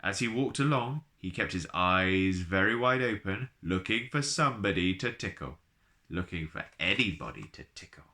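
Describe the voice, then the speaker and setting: A man reading a children's storybook aloud in an expressive, playful way, with chatty asides to the listener. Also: low voice, moderate pace. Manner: playful, addresses listeners directly.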